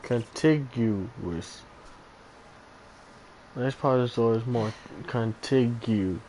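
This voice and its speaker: Male streamer, mid-pitched, reading game lines. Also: takes it slowly, mellow, a little hiss or hum, low-quality recording. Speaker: game livestreamer. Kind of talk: reading game dialogue aloud, casual comments.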